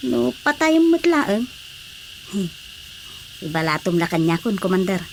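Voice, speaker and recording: A woman's voice: medium pitch, sing-song, a little slow, creaky, slight background noise. A woman playing an emotional, fretful female character in a radio drama. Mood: deferential.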